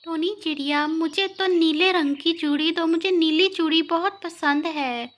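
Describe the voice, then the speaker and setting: A woman's voice: very high voice, a little slow. A woman voicing an eager female bird shopping for bangles in a cartoon story. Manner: eager, demanding.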